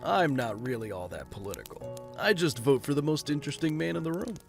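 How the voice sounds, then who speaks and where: A man playing a wry, guarded rich gentleman in an audio drama, speaking in a dry, understated way. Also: on the high side, lively intonation, fairly quick, gravelly, soft background music.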